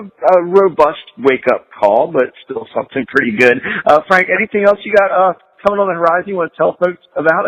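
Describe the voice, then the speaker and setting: A man's voice: medium pitch, a bit bright, muffled recording. A man hosting an internet radio interview, upbeat and chummy with his guest. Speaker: radio show host. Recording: radio broadcast.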